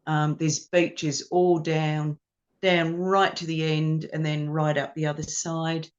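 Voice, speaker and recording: A woman's voice: low voice, level tone, takes it slowly, dull audio. A woman chats as a guest over a video call from her home music studio. She is friendly and loose, with plenty of ums as she goes. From New Zealand. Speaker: ukulele teacher and performer, guest. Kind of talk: casual interview chat.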